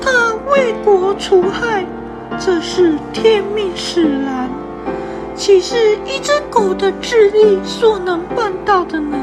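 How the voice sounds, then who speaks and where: A woman's voice: very high-pitched, animated, takes it slowly, full character voice, low-quality recording. A young woman with a Taiwanese Mandarin accent playing an earnest, dutiful princess in a student radio drama, speaking formally. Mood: earnest, resolute. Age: young adult. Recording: music bed behind voice.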